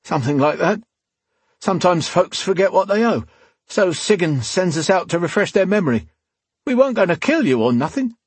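A man voicing a gruff, crude hired thug in an audiobook, pained and growling through clenched teeth. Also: high-pitched, sing-song, fairly quick, dry recording, voice pushed up, heavily acted. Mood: nervous, grudging. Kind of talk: dramatic audiobook character voice.

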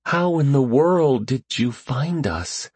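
A man's voice: mid-range voice, lively intonation, a little slow, husky, no echo, pitched above the performer's own voice. A man voicing a wary, outspoken older mother in an audiobook, hesitant and exclaiming. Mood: wary, puzzled.

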